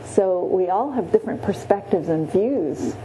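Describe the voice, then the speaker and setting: A woman teaching a meditation class in a gentle, halting way, often pausing and adding "yeah.". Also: lowish voice, lively intonation, a little hiss or hum, low-quality recording. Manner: gentle, many pauses and restarts, frequent "yeah". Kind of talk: guided Buddhist meditation teaching. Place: meditation room.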